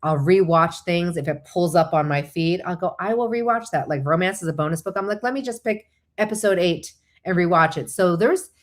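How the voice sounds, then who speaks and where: A woman's voice: lowish voice, brisk. A woman whose first language is Korean, hosting a casual, chatty livestream, often digressing and thinking aloud as she replies to viewers. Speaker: host of a live online show; licensed therapist.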